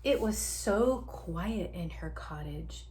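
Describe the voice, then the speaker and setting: A woman reading a story aloud to young children, expressive, drawing out words, dropping into hushes and asking the listener questions. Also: on the low side, slow pace, slight background noise. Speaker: children's story reader, teacher.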